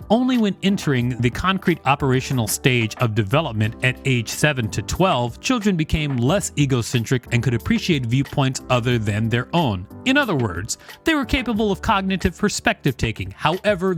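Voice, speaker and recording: A man hosting a podcast, reading an encyclopedia article aloud. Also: medium pitch. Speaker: podcast host.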